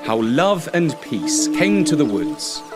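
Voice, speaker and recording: A man narrating a children's story as storyteller in an expressive, theatrical way. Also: a little high, slow pace, loud music behind the voice.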